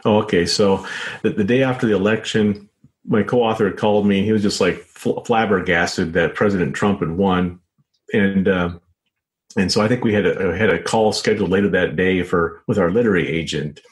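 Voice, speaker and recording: American guy with a deep voice being interviewed, stumbles and repeats words, lots of you knows. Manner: halting, repeats words and says "you know" constantly. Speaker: interview guest, author and journalist. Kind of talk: conversational interview answers.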